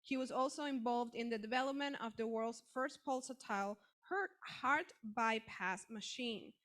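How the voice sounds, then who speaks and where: A woman hosting an event, reading a formal introduction of the guest speaker in a brisk manner. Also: on the high side, a bit bright. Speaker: event host.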